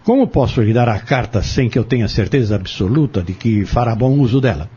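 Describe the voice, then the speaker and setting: A man playing a husky, insistent schemer. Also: medium pitch, expressive, a little fast, mellow, muffled recording. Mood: goading. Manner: persuasive, goading, formal wording. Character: insistent, scheming man bent on exposing a corrupt family. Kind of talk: audio drama acting.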